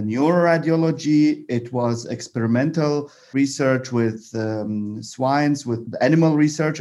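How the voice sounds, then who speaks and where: A man from Iraq talks about his career as a podcast guest. His voice sits in a middle pitch, and he speaks warmly, with small restarts and repeated words. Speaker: guest, radiologist. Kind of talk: interview answers.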